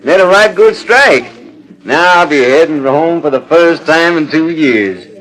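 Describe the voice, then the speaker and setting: Boyish folksy young prospector. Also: male voice, on the high side, lively intonation, unhurried, fairly bright tone, low-quality recording. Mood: cheerful, proud. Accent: American. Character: cheerful, guileless young gold prospector. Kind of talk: 1940s radio western acting. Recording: old 1940s radio transcription disc.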